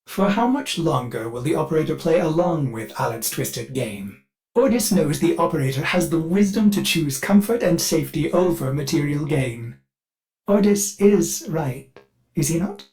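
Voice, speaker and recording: A man's voice: fairly high pitch, expressive, moderate pace, darkish tone, natural voice. An actor voicing a polite, eager male ship AI servant in a video game, with a formal, mannered delivery. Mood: earnest, pleading. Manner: mannered, formal, refers to itself in third person. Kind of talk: scripted game character voice lines.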